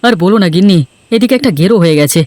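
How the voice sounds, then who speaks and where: A man's voice: high voice, animated, racing, darkish tone, dry recording. A man voicing a worried village farmer and husband in a cartoon story, speaking earnestly and hurriedly.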